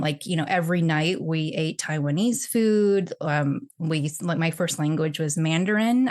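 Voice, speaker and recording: A woman talks with a lower voice, chatty and easygoing as she tells stories from her childhood. Her speech runs along with lots of fillers and restarts, like a relaxed podcast conversation. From Texas, United States. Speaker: podcast guest. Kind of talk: casual conversational interview.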